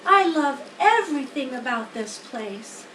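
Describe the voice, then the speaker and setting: A woman's voice: high-pitched, expressive, measured pace. A woman voicing an anxious, eager young male pig in a storybook, pleading earnestly like a small child. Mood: loving. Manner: pleading, earnest, full of questions. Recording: roomy, distant mic, faint background noise.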